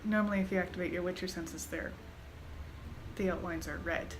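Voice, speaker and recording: A woman's voice: fairly low pitch, fairly even intonation, a little fast, background noise. A woman giving casual commentary as she plays through a video game. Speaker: gamer host. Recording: game music behind commentary. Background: game music.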